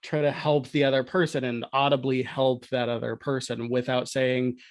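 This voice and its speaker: Guy explaining stuff on a podcast, casual chat, medium-low voice, really dry sound. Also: little pitch movement, moderate pace, no room sound. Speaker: podcast guest, audio drama producer.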